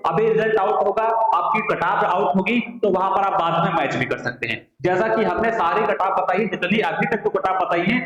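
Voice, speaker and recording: A high-pitched male voice, heard in a very echoey, slightly muffled room. He explains like a coaching teacher. He poses questions, answers them himself and repeats key phrases as he goes. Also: normal speed, hardly any pauses, a bit bright. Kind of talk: explanatory lecture to online exam aspirants.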